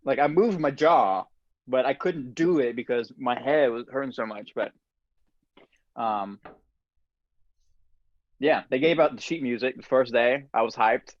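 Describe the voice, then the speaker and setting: A man hosting a casual podcast conversation, telling relaxed stories with frequent laughs. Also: medium pitch, measured pace, pauses often, no room sound, highs cut off. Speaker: podcast host.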